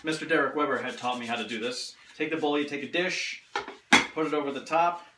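A man's voice: medium pitch, a little fast, noisy background. A man in his thirties hosting a casual cooking demo with friends in a small home kitchen, joking and laughing as he works. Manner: playful, laughing often. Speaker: cooking show host. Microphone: far camera. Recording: roomy kitchen, camera far off.

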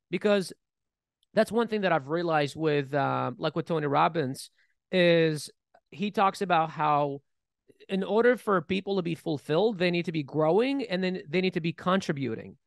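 A man in his thirties co-hosting a casual podcast, talking in an animated way with many restarts, recorded on a studio mic. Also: fairly high pitch, moderate pace, vocal fry, no room sound. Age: 30s. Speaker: podcast co-host. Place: bright studio room, seated in armchair. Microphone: boom-mounted studio mic.

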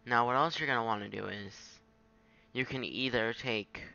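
A man giving a casual, improvised software tutorial in a halting, self-deprecating way on a home microphone. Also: mid-range voice, creaky, dull audio. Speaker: tutorial maker. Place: at a home computer, screen recording.

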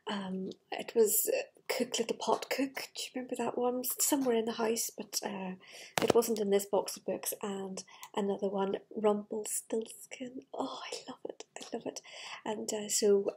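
A middle-aged woman with a breathy voice, reading a fairytale to children with gushing, chatty asides in a living room. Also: on the high side, steady tone, normal speed, darkish tone, slight background noise, poor audio. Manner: gushing, wistful enthusiasm for the book. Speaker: children's storyteller. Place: living room with large glass doors. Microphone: device camera at arm's length.

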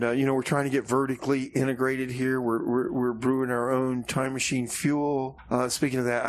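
Casting: male radio DJ, medium pitch, folksy, rambling, repeats himself, off-the-cuff delivery. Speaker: radio show host. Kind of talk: casual rambling radio DJ chat.

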